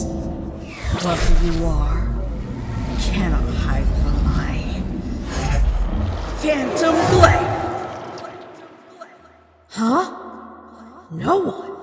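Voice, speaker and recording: A woman's voice: medium pitch, echoey room, in a large hall. Theatrical, self-assured ghost, played by a woman. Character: boastful, suspicious ghost with a phantom blade. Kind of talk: dramatic comic dub acting. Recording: voice over background music.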